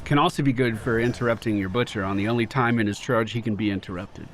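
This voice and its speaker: A man's voice: lowish voice, quick pace, noisy background. A young man with a slightly nasal voice from a cold, giving casual, swearing commentary over his own gameplay. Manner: casual, swears, excitable bursts when plays land. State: getting a cold. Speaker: gaming YouTuber.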